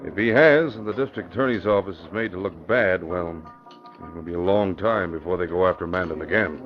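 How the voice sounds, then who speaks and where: Deep-voiced police commissioner, played by a man. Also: brisk, faint music behind, lightly acted. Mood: wary, explaining. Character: wary, plain-spoken middle-aged male police commissioner. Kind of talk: 1940s radio acting. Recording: muffled old radio broadcast.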